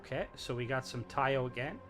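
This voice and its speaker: A man's voice: mid-range voice, fairly quick, fairly bright tone. A man hosting a live game stream, chatting casually and playfully with lots of fillers as he tours and rates builds. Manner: rambling, filler-heavy, playful. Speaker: streamer host. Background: faint game music.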